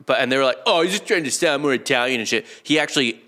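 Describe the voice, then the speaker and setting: A man's voice: medium pitch, animated, very fast, bright, forceful tone. A man hosting a casual comedy history podcast, explaining things in an informal, joking way. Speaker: podcast host.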